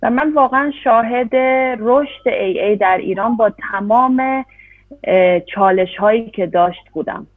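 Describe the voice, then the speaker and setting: A woman interpreting a talk, rushing through phrases with hesitant fillers between them. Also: medium pitch, dry recording. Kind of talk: consecutive interpretation. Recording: dry, tinny phone-like sound.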